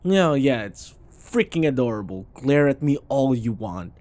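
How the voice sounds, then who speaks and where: A man's voice: medium pitch, big pitch swings, unhurried, darkish tone. A man playing a sarcastic, irritable office rival who taunts and scoffs, in a scripted boyfriend audio roleplay. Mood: smug teasing. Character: snarky, irritable male office rival, teasing and combative.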